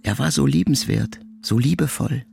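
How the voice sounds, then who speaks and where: A man voicing a frail, strong-willed ninety-year-old mother with a soft, plaintive, questioning lilt in an audiobook, music clearly heard beneath. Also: medium pitch, moderate pace, pitched above the performer's own voice. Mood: wistful. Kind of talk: audiobook character voice.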